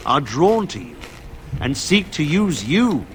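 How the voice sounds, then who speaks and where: An actor playing a stern, imposing templar knight commander in a fantasy video game, speaking in a solemn, commanding way. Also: male voice, on the high side, big pitch swings, takes it slowly, slight background noise. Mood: solemn warning.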